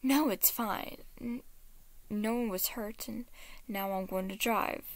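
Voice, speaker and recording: A woman voicing a caring young man who reassures his friend in a calm, kind way. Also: a little high, takes it slowly, no echo. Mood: reassuring.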